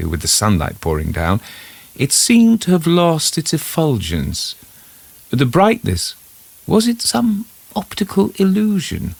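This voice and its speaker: A man reading a scripted first-person literary story as its narrator, in a measured storytelling manner. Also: lowish voice, creaky, no echo.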